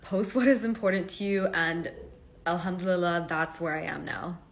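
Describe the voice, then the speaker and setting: A woman's voice: fairly low pitch, fairly even intonation, normal speed, vocal fry. A woman in her twenties from Hong Kong, telling a personal story in casual vlog style, earnest and chatty with many fillers. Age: 20s. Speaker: vlogger. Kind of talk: casual talking-to-camera story. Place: home.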